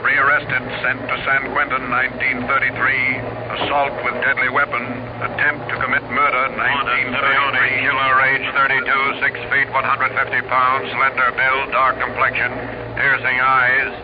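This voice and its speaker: An actor playing a police radio dispatcher in a 1940s radio drama, reading bulletins in clipped, official phrases. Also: male voice, mid-range voice, monotone, moderate pace, lightly acted. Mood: urgent, official. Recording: very noisy, dull old radio recording.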